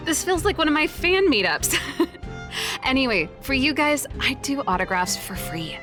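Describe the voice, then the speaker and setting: A woman's voice: sits high, sing-song, unhurried, over music. A woman voicing a cool, friendly young rock musician in a video game, breezy and teasing.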